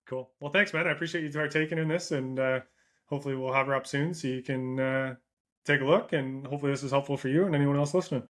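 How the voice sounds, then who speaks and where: A man's voice: medium pitch, quick pace. A middle-aged Canadian man with a Canadian accent, a running coach talking casually with an athlete, often tagging "right?" onto his points and acting out runners' thoughts. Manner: animated, acts out runners' thoughts in quoted asides. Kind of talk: casual coaching chat over a call.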